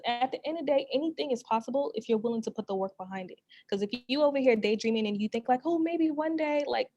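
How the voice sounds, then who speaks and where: A woman's voice: fairly high pitch, a little mellow. Chatty woman speaker on a video call. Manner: chatty, encouraging, frequent "you know what I mean". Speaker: guest speaker, startup co-founder. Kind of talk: casual conversational talk.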